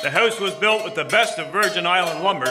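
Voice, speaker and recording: An actor playing a proud, steady older husband and wedding host in a historical audio drama. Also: male voice, sits high, a little fast, loud music behind the voice, lightly acted. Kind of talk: historical radio drama acting.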